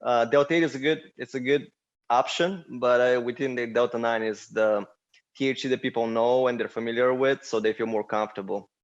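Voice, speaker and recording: A man's voice: medium pitch, fairly even intonation, fairly quick, lo-fi sound. A man from Bulgaria, a podcast guest talking easily with lots of "you know.". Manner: easygoing, many "um" and "you know" fillers. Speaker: guest, cannabis business co-founder. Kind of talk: casual interview chat.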